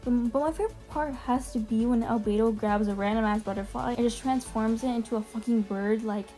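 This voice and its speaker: A woman with a fairly high voice talks casually, as if running through a ranked list for viewers. She is informal and chatty and swears freely. Music plays faintly behind her. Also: a little fast, warm tone, rough recording. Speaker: video host ranking game character demos. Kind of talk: casual commentary over video clips. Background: background music.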